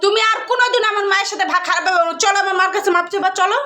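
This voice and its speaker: A woman playing a young husband caught between his wife and mother, pleading softly then putting on anger. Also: extremely high pitch, racing, reverberant. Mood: fake-angry. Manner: hushed pleading asides, then loud fake scolding. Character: young husband, meek mama's boy, scheming. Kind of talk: comedy skit acting.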